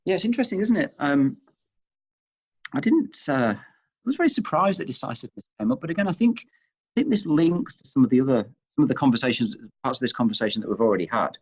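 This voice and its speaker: A man from Manchester with a Northern English accent, answering interview questions in a thoughtful, halting way, restarting phrases as he goes. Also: medium pitch, big pitch swings, slightly rough. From Manchester, England. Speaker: interview guest, chief executive of leadership institute. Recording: dull, muffled lo-fi audio.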